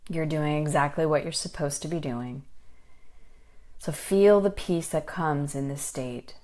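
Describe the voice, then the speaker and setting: Low-pitched woman guiding a meditation. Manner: soothing, unhurried, gentle instructions with pauses. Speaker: meditation guide and life coach. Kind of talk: guided meditation.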